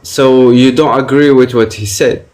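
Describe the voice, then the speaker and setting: A man's voice: medium pitch, steady tone, a little slow, darkish tone. A man debating online, pressing his point with repeated questions and frequent hesitant fillers.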